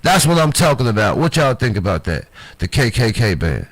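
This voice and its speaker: A man's voice: medium pitch, very animated, fairly quick. A man from Chicago hosting a livestream show, talking in a loose, profane, swaggering way with street slang and a Chicago accent. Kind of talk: loose improvised banter.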